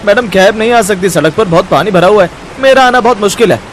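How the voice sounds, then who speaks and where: A man's voice: high voice, fast talker, slight background noise, close to an everyday voice, rough recording. A man voicing an apologetic cab driver in a cartoon story, explaining himself. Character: cab driver, apologetic, firmly refusing the fare. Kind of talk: Hindi cartoon story voice acting.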